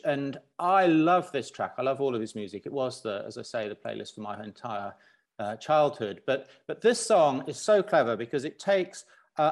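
A man from Zambia as a podcast guest, talking reflectively with many pauses and restarts. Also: medium pitch. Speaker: guest, business founder. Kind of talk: podcast interview.

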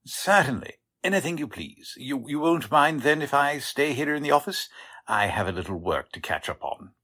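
A fussily formal warehouse manager from a 1940s radio mystery. His husky voice swings up and down as he politely, hesitantly explains and defends himself. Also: medium pitch, expressive, normal speed, pushed and bright. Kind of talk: 1940s radio drama acting.

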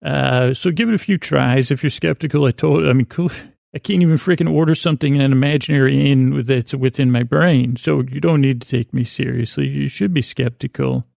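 Creaky-voiced man rambling through a bedtime podcast. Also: medium pitch, darkish tone, no echo, clean studio sound. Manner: meandering, halting, trails off mid-sentence. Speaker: podcast host.

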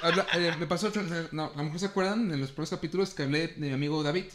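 Young dude, pretty high voice, tells stories in stops and starts. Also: male voice, a bit bright. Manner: storytelling, halting restarts, laughs while talking. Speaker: podcast co-host. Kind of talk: casual chat.

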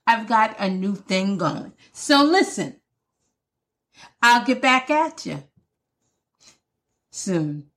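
A woman hosts her own podcast in a casual, playful way, and she laughs often. Her voice sits a little high and swings widely in pitch. She talks slowly, and faint music plays behind her. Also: fairly bright tone. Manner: playful, laughs often, says "listen" and "y'all" a lot. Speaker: podcast host. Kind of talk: casual solo podcast chat.

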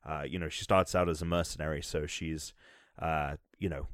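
A man with a very low voice chatting about games on a podcast. He rambles a little, restarting sentences as he goes. The sound is dry and close. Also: fairly even intonation, moderate pace, fairly bright tone, no room sound. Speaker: podcast co-host, game reviewer. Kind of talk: casual podcast chat.

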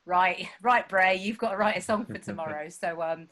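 Chatty woman, low voice. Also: normal speed, a bit bright. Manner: chatty, self-deprecating, many fillers. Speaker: songwriter guest. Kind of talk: casual chat. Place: home.

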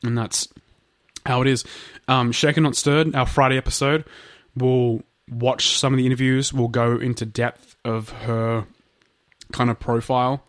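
A man's voice: medium pitch, flat delivery, measured pace, no room sound, studio quality. A man co-hosting a casual true-crime podcast, a bit nasal from a blocked nose, rambling with lots of fillers and restarts. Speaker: podcast co-host.

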